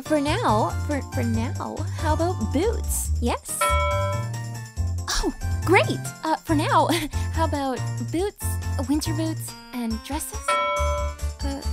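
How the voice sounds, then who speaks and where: A woman voicing a perky, curious young princess in an amateur animated film fandub, chatty and hesitant with a nervously giggly manner. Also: high voice, heavily acted. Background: loud music.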